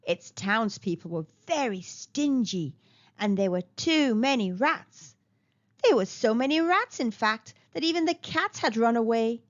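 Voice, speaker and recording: A woman reading a children's story aloud in an expressive storytelling manner. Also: fairly high pitch, measured pace. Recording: dull lo-fi recording.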